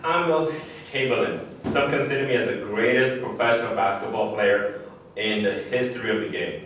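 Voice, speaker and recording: A man's voice: lowish voice, normal speed, a bit bright, close to an everyday voice. Man playing boastful basketball great, heavy echo. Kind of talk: staged play reading. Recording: echoey room, far mic, faint noise.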